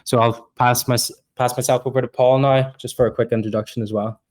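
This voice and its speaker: A man's voice: mid-range voice, little pitch movement, a little fast, darkish tone. A man from Ireland with an Irish accent, a project co-founder speaking as a guest, hesitant with many ums and restarts. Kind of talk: casual interview talk.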